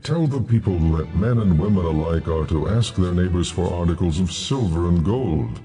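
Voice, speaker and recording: An actor playing an all-powerful, solemn God in a dramatized audio Bible, speaking with grave authority. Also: male voice, sits low, lively intonation, moderate pace, warm tone, faint music behind. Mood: solemn pronouncement.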